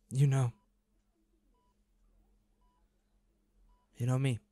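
A man playing a devoted, anguished boyfriend in an intimate audio roleplay, pleading and halting with emotion. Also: mid-range voice, dark tone, no echo, lightly acted. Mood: tender, sorrowful. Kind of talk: intimate dramatic audio roleplay, one-sided dialogue.

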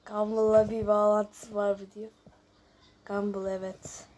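A woman's voice: medium pitch, flat delivery, measured pace, noisy background. A woman hosting a casual gameplay video, chatting and thinking aloud as she reads out questions and answers them. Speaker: YouTube host.

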